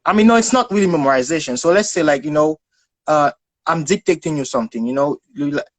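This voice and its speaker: A young man with a Haitian accent speaks in a fairly high voice, with very little room sound around it. He tells personal stories in a casual, conversational style. He often stumbles and repeats words while searching for the next phrase. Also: quick pace, dry recording. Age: young adult.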